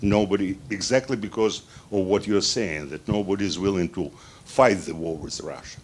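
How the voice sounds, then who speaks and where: A man from the audience asking a question, halting and searching for words. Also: deep voice, slightly rough. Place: event hall with live audience.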